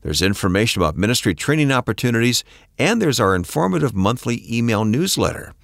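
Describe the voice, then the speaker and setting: A man co-hosting the show, reading an announcement with smooth announcer delivery. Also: medium pitch, sing-song, fast, fairly bright tone, no echo, clean studio sound. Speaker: co-host.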